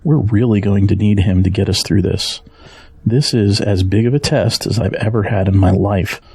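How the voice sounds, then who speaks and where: Man playing a husky, worried middle-aged dad. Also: lowish voice, normal speed, a little mellow. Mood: earnest, reassuring. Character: worried, gentle middle-aged father caring for his ailing wife. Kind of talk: audio drama acting.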